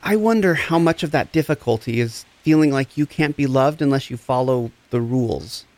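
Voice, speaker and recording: An actor playing a gentle, encouraging empath therapist, speaking in a reassuring, deliberate way in a scripted audio drama. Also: male voice, mid-range voice, dry recording, close to an everyday voice. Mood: tender, encouraging. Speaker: actor in a fiction podcast.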